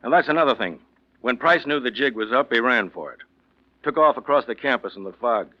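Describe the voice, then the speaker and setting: A man plays a matter-of-fact police investigator in an old radio drama. His mid-pitched voice explains things plainly and courteously, on a dull vintage recording. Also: fairly quick, close to an everyday voice. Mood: brisk, factual. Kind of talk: 1940s radio drama acting.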